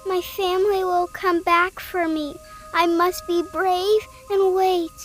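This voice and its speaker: Tiny, high-pitched frightened girl pumpkin. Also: level tone, takes it slowly, faint music behind. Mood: bravely anxious. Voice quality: tiny, childlike voice. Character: small frightened girl pumpkin, lonely but trying bravely. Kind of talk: children's storybook character voice.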